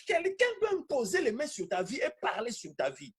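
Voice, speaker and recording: High-pitched husky male preacher, very animated. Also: brisk. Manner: emphatic, repeating phrases for effect. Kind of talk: animated charismatic teaching sermon.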